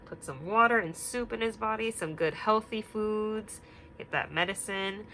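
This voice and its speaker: Bright-voiced teacher reading to kids. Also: female voice, medium pitch, normal speed, a little hiss or hum, low-quality recording. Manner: gentle questioning, asks children many questions; drops to a whisper at quiet parts. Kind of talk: reading a picture book aloud to children.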